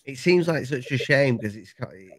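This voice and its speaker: A man's voice: mid-range voice, animated, normal speed, crowd noise. A man chatting informally as an interviewer. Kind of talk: casual chat.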